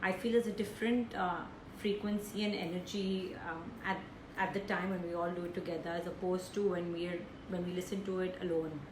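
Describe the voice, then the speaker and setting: A woman's voice: fairly low pitch, fairly even intonation, a little fast. A woman hosting a live stream, chatting casually and effusively over a roomy, hissy call connection.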